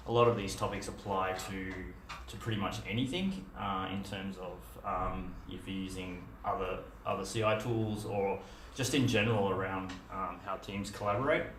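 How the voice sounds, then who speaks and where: A man's voice: low-pitched, normal speed, hardly any pauses, fairly bright tone, a little hiss or hum. A middle-aged man with an Australian accent gives a meetup talk in a casual, conversational way, full of fillers and restarts. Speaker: meetup speaker. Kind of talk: conference talk. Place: dim meetup room with a projector screen. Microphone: far camera. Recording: echoey room, distant mic.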